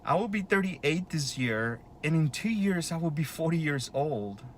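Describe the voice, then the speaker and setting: A man's voice: on the high side, animated, normal speed, a little hiss or hum. A man in his late thirties talking in a casual, rambling monologue, with lots of fillers and restarts. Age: 30s. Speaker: vlogger.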